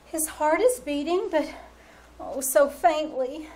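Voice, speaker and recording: A woman acting a lovesick, sorrowful young mermaid in old-time radio drama style, pleading with dramatic pauses. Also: high voice, darkish tone. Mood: tender, anxious. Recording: noisy live theater stage recording.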